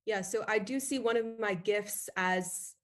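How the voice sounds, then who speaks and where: A woman's voice: mid-range voice, measured pace. A woman in her twenties calling into an advice show, speaking hesitantly with many fillers over a video call. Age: 20s. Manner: hesitant, full of "like". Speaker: caller. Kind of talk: call-in conversation.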